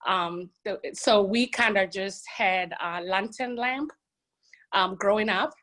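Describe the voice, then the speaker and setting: A woman's voice: medium pitch, takes it slowly. A woman in her forties who grew up in Kenya, a guest telling her life story on a live video call from her phone, earnest and full of "you know". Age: 40s. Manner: earnest, warm storytelling with frequent "you know" and light laughs. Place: home room with a stack of books behind.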